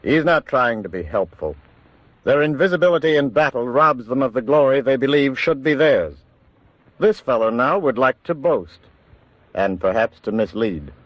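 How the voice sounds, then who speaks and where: A male narrator in vintage 1940s radio style. He is measured and authoritative, with a medium pitch and very dry, close sound. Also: expressive, fairly bright tone, no echo, low-quality recording. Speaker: documentary narrator.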